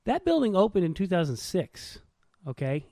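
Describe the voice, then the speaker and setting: A man's voice: sits high, sing-song, normal speed, warm tone, no room sound, clean studio sound. A man chatting casually as a podcast co-host, telling stories in an informal, slangy way. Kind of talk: casual chat.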